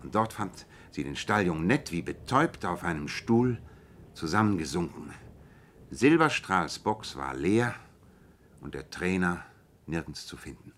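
An actor playing a keen, self-assured detective who recounts a case precisely and deliberately in a radio play. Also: male voice, low-pitched, lively intonation, fairly bright tone, full character voice. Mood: measured, intent. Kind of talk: German radio play acting, narrative.

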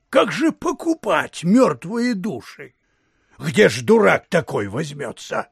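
Creaky, baffled provincial townsman. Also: fairly high pitch, no room sound, studio quality, heavily acted. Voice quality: raspy, creaky. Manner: theatrical, drawn-out exclamations. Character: baffled, indignant provincial Russian townsman, grumbling gossip. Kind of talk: classic literary audio drama acting.

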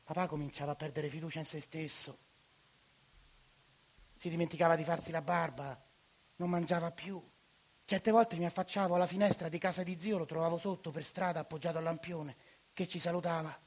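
Quiet Roman storyteller, high voice. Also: male voice, steady tone, brisk. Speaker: actor narrating a monologue. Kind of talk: theatrical radio monologue.

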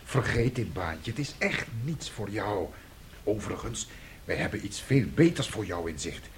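A man's voice: on the low side, animated, normal speed. Meek, placating father, noisy recording. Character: meek, soothing, timid father. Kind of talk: radio drama acting.

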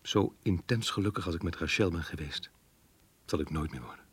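A man's voice: deep voice, a little fast, natural voice. An actor playing a melancholy, resigned man who reads from his diary in a subdued, reflective way in a radio play. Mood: resigned, sad. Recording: roomy sound with music behind.

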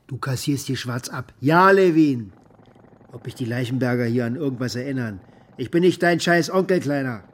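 An actor playing a bitter, maimed former military contractor who rambles coarsely and defensively in a naturalistic radio drama monologue. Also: male voice, mid-range voice, expressive, moderate pace, natural voice. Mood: resentful. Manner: coarse, defensive, agitated rambling with rhetorical questions.

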